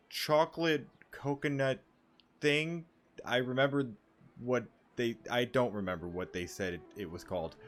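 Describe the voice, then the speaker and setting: A man's voice: mid-range voice, a bit bright. A young man giving casual, chatty commentary as a gaming streamer, reacting informally as he plays.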